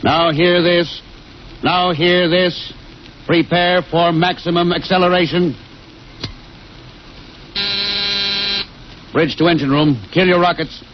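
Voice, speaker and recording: Middle-aged american spaceship skipper, gives orders with authority, old radio play, bit of hiss, dull sound. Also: male voice, high-pitched, steady tone, a little slow. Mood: commanding. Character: seasoned, commanding male spaceship captain, loyal and resolute. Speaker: actor in a radio drama. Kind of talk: 1950s American radio acting. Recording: noisy, dull vintage radio recording.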